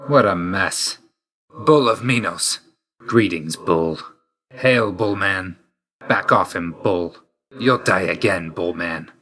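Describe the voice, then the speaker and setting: A video game god of death with a low voice and a hard, forceful edge. He is grim and reserved, and he speaks in terse, solemn phrases. A dry loyalty sits under the sternness. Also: takes it slowly, heavily acted. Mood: cool, terse. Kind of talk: scripted video game voice lines.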